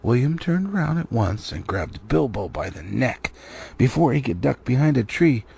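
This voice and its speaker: Husky man reading a storybook expressively. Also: mid-range voice, big pitch swings, moderate pace, a little mellow. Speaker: audiobook reader.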